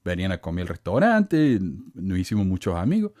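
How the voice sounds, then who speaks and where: Man with cordoba argentine accent, sarcastic and indignant, lively swooping pitch, dry close sound. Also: a little fast, no echo. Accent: Cordoba Argentine Spanish. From Córdoba, Argentina. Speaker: podcast host. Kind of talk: casual commentary reading comments.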